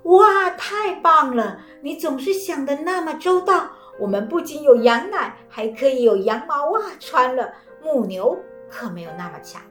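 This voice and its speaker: A woman's voice: high voice, measured pace, few pauses, voice pushed up. A woman voicing a doting old wife who gushes with delight and praise. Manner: gushing, excited praise. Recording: roomy, with faint music.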